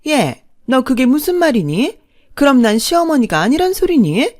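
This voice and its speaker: A woman's voice: big pitch swings, normal speed, darkish tone, no echo, clean studio sound. A woman voicing a haughty, spiteful middle-aged woman who mocks and coos in a dramatised family story. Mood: indignant, whiny. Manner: mocking, cooing at her man, shrill in outrage.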